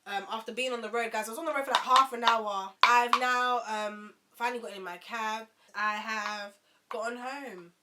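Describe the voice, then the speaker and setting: A young woman, telling a storytime vlog in an animated, indignant way and sometimes swearing. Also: fairly high pitch, normal speed, very noisy. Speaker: vlogger.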